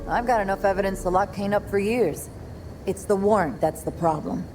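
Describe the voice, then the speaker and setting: A woman's voice: mid-range voice, fairly quick, over music, close to an everyday voice, rough recording. A woman playing a sardonic, world-weary police detective in a video game cutscene, with dry, sarcastic delivery. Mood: frank, weary.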